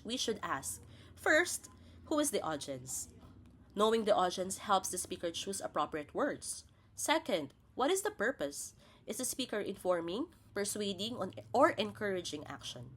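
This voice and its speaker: A woman's voice: medium pitch, a bit bright. A woman presents a scripted lesson as a teacher, spelling out each point in a didactic, step-by-step way. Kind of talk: scripted instructional narration.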